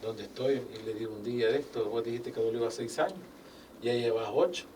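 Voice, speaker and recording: An older man, a business owner telling his life story as a guest in a casual interview. He speaks with animated, faith-filled phrasing and acts out quoted dialogue. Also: mid-range voice, a little fast. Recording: distant mic with faint background noise.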